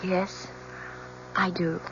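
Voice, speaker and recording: An actress playing an anguished, truthful young woman in an old-time radio drama, speaking in a halting, earnest, emotional way. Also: fairly low pitch, flat delivery. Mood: timid, reluctant. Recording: muffled, noisy old radio drama recording.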